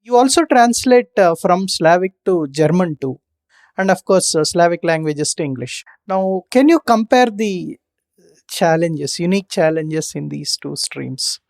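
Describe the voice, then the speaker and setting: Interviewer asking measured questions. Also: medium pitch, expressive, vocal fry, a little mellow. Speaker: podcast host.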